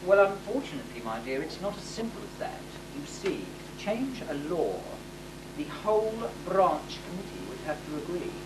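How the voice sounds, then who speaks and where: Pompous pantomime sheikh dad, played by a woman, swooping delivery with stagey pauses, heard across a noisy hall. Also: fairly low pitch, very animated. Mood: fatherly. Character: pompous sheikh father of a princess, middle-aged man. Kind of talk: amateur pantomime stage acting. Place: hall stage, distant microphone. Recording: echoey hall from far off, noisy amateur tape.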